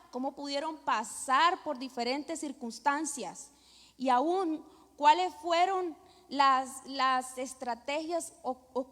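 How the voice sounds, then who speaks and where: A woman's voice: high-pitched, fairly bright tone. A middle-aged woman with a Venezuelan accent, a pastor preaching and praying with emphatic, repeated devotional phrases. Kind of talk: church sermon and prayer. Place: church hall with long reverb. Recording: reverberant hall.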